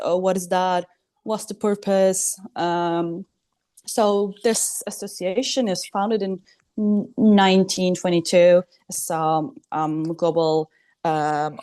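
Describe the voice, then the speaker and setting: A young woman in her twenties with a Turkish accent, giving a hesitant online presentation, full of ums, in quick bursts. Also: on the low side, a little mellow. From Turkey. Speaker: student presenter. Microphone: video call.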